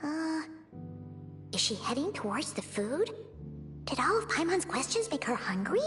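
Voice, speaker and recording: A woman voicing a tiny, earnest, excitable fairy companion in a fantasy video game, with a squeaky childlike voice and naive, expressive delivery. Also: normal speed. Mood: puzzled. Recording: clear background music under the voice.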